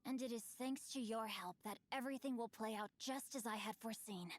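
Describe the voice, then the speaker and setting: A woman's voice: a little high, a little fast, close to an everyday voice. A woman voicing a refined, bookish teenage boy swordsman who speaks in a formal, theatrical way in video game dialogue.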